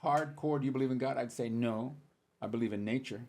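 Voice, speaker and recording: An older man with a husky, slightly breathy voice tells stories from his past on a casual podcast. He sounds dry and matter-of-fact, and he slips into the voices of the people he is quoting. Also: mid-range voice, fairly quick, darkish tone. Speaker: comedian guest.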